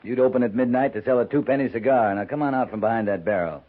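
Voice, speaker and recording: A man's voice: medium pitch, fast talker, natural voice. A man playing a plain-spoken, patient cavalry captain in a 1950s western radio drama, dry and matter-of-fact, with an American accent. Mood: dry, puzzled. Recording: muffled vintage 1950s radio recording.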